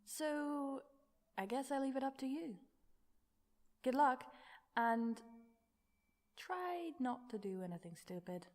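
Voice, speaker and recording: A woman's voice: high voice, expressive, slow, pauses often, a little mellow. A woman talking in a reverberant space. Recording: reverberant hall, long echo.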